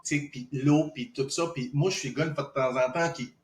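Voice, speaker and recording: A man's voice: mid-range voice, fairly even intonation, brisk. A man with a Québécois accent co-hosting a casual podcast, joking and playful, with repeated words. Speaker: podcast co-host. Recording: roomy echo.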